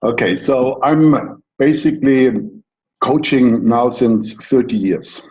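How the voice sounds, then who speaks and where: A middle-aged man from Germany with a German accent, a coach giving a slow, deliberate, reflective talk with pauses. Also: mid-range voice, a little mellow, rough recording. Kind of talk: lecture-style talk.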